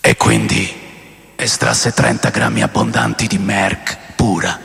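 A man playing a world-weary rock musician telling his wild memories in a radio drama, with a gravelly, creaky voice. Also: deep voice, sing-song, a little slow, a bit bright, soft background music, exaggerated voice. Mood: nostalgic, confiding. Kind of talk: dramatised memoir monologue in a radio play.

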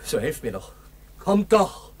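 A man's voice: on the high side, sing-song, a little slow, darkish tone, exaggerated voice. A man playing a weak, wounded Russian airman, helpless and desperate, in a 1960s German radio drama. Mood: desperate pleading. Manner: swings from breathy whisper to pleading cries. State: weak and exhausted.

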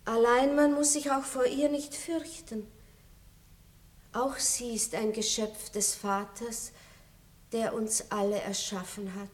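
An actress playing a gracious, aging aristocratic lady in a 1960s radio play, speaking softly with a tinge. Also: fairly high pitch, level tone. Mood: melancholy. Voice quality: soft, measured, melancholy. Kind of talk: 1960s radio drama acting.

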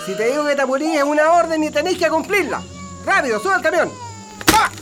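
A man's voice: very high-pitched, expressive, fast. A Chilean man playing a harsh, bullying military officer in a radio drama, barking curt and impatient orders. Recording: radio drama with music behind.

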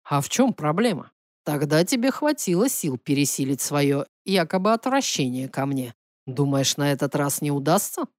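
Женщина в роли низкоголосого самоуверенного принца. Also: female voice, deep voice, sing-song, darkish tone, no room sound, studio quality, voice pushed down. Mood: provoking.

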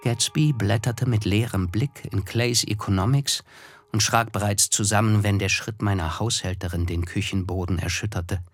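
A man's voice: lowish voice, steady tone, normal speed. A man reading literary, scripted narration as the narrator of a radio play. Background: music.

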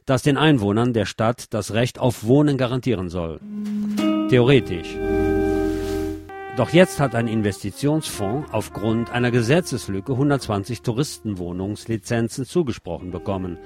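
A man's voice: mid-range voice, lively intonation, moderate pace, over loud music. A man presenting radio news, reading from a script in a narrated style.

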